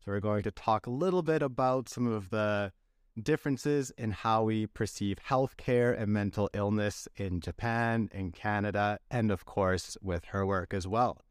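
A man hosting an interview podcast, talking in a friendly, relaxed way and reading a short scripted intro. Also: on the low side, moderate pace, smooth, dry recording, studio quality. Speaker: podcast host. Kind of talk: casual interview.

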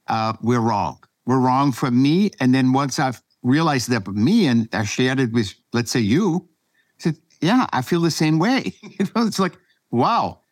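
A man's voice: medium pitch, dry recording. Austrian-accented man talking in a dry recording. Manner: halting, repeats words for emphasis. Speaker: podcast guest, artist. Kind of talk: spontaneous personal storytelling.

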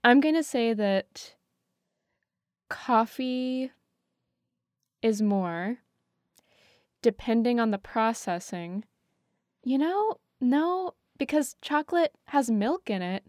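A woman's voice: on the high side, expressive, takes it slowly, pauses often, darkish tone, dry recording, clean studio sound. A woman on a podcast panel, chatting casually and thinking aloud.

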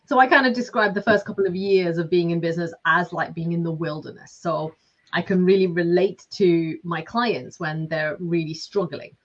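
A woman's voice: fairly low pitch, steady tone, normal speed. A woman in her forties with a Lancashire accent, a business coach talking chattily with lots of fillers. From Lancashire, England. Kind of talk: casual interview talk. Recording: slightly dull lo-fi sound.

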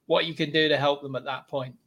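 Male interviewer, slightly hoarse, friendly, slightly higher-pitched, stop-start questions. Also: fairly even intonation, quick pace, a bit bright. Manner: friendly, restarts questions often. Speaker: podcast host. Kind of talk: casual interview.

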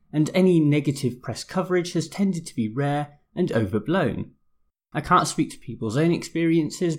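A man, slightly hoarse, hosting a law podcast in a measured, explanatory scripted style. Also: medium pitch. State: a bit hoarse, losing his voice. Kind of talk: scripted legal commentary podcast.

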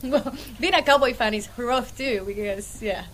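A woman's voice: on the high side, moderate pace, fairly bright tone, a little hiss or hum. A woman from Puerto Rico with a Puerto Rican accent, chatting casually as a guest in an interview. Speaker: podcast guest, freight brokerage owner.

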